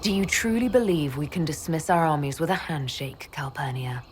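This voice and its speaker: An actress playing a composed, persuasive military leader in a video game, speaking in a firm, measured way. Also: female voice, sits low, slight background noise, close to an everyday voice. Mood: firm challenge. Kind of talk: dramatic video game dialogue acting.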